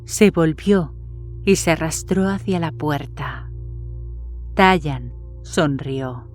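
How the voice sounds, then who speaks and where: A woman's voice: low voice, slow, faint music behind. A woman reading a horror story as scripted narration.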